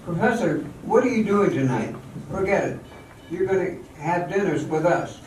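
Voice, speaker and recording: A man's voice: high-pitched, expressive, normal speed. A man acting as a hearty, breezy showman in an amateur radio drama, jovial and bossy. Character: breezy, hearty showman, everybody's best pal. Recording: echoey hall from far off, faint noise.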